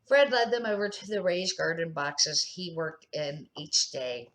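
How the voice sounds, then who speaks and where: A woman's voice: fairly low pitch, lively intonation, measured pace, fairly bright tone. An older woman, a grandmother, narrating a children's storybook aloud in a plain, amateur reading style.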